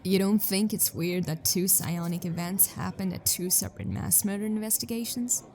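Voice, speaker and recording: A woman acts out a persistent detective in a sci-fi audio drama. Her voice sits at a medium pitch, and she questions directly and skeptically, like an investigator who wants answers. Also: steady tone, moderate pace, a little mellow, natural voice. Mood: skeptical, probing.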